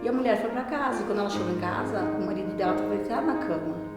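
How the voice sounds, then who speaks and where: A woman's voice: medium pitch, very fast. Adult woman, informal interviewee, reverberant hall, audible musical bed. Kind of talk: casual interview. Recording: very echoey hall, clear music underneath.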